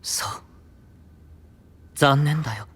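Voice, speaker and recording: An actor playing a calm, enigmatic, stubborn boyish knight, speaking in an unhurried, wistful way in a fantasy audio drama. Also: male voice, fairly high pitch, slightly rough, heavily acted. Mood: quiet, disappointed. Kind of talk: anime-style fantasy audio drama acting.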